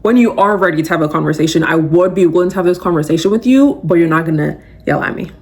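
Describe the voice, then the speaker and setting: A woman's voice: on the low side, fast. A young adult woman hosting a podcast, giving a casual, emphatic motivational talk full of fillers. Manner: animated, emphatic, conversational with many fillers. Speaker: podcast host.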